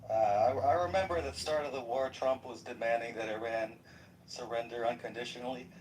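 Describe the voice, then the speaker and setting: A man on a podcast panel talking about politics in an animated, astonished way, with stumbling restarts. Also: mid-range voice, fairly quick. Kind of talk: political discussion. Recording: distant mic, clear background noise.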